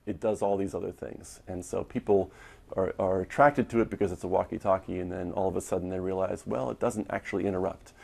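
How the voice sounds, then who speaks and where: An American man gives interview answers as a company executive. His voice is low and husky, with extremely heavy creak and gravel. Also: steady tone, fast talker, a little mellow. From US East Coast. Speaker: tech company CEO, interviewee.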